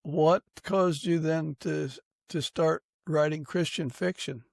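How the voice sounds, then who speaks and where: A man's voice: a little high, fairly even intonation, takes it slowly, gravelly, dry recording, studio quality. A man hosting a casual podcast interview in a conversational way.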